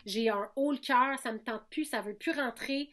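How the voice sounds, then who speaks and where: A woman in her thirties with a Québécois accent speaks in a slightly high voice. She talks candidly and conversationally, with frequent restarts and repeated words as she works through her thoughts. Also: moderate pace, fairly bright tone. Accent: Québécois French. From Quebec. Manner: frank, many restarts and repetitions. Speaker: podcast guest, singer.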